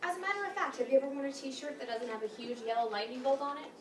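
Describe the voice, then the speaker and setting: A woman's voice: high voice, rapid-fire, soft background music, lightly acted. A young woman playing an outspoken, exasperated girlfriend in a live staged reading. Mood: teasing. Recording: roomy, distant mic with faint noise.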